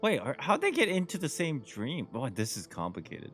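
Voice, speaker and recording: A man's voice: mid-range voice, huge pitch swings, moderate pace, fairly bright tone, faint music behind. A man giving casual, slangy playthrough commentary as a game streamer, with muttered offhand reactions.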